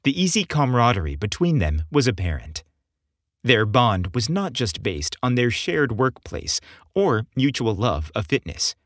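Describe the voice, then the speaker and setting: A man's voice: mid-range voice, big pitch swings, measured pace, dry recording, clean studio sound. A man hosting and narrating a scripted story podcast with theatrical, dramatic phrasing.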